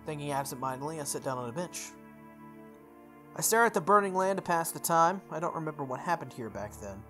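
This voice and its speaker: Expressive reader stumbling through game text over music. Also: mid-range voice, fairly quick. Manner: stumbles over words and repeats phrases. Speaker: gamer reading a visual novel aloud. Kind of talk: playthrough read-aloud with offhand asides.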